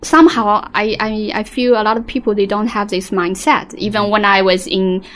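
A woman's voice: medium pitch, flat delivery, normal speed, a bit bright. A woman from China, a guest on a panel, giving brief plain answers with small hesitations. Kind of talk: casual panel discussion.

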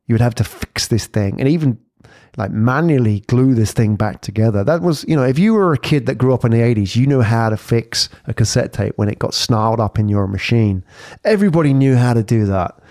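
A man's voice: medium pitch, animated, brisk, a little mellow, no echo, clean studio sound. A man in his forties talking in a casual, conversational podcast monologue, reflective and fond of rhetorical questions and tags. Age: 40s. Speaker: podcast host.

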